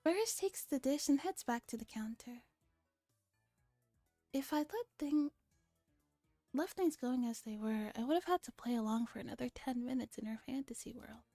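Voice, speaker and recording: A woman acts out a delusional young man narrating a visual novel. The delivery is pompous and dramatic, in a soft, dark-edged tone with no room sound. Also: sits high, moderate pace, long pauses, no room sound, faint music behind. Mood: dry, narrating.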